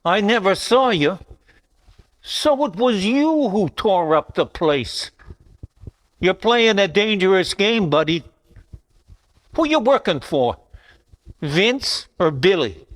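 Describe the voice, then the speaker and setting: A man playing a blunt, menacing 1940s gangster thug in a radio noir parody, using rough tough-guy slang. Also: high voice, big pitch swings, slow, long pauses, heavily acted. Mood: menacing, suspicious.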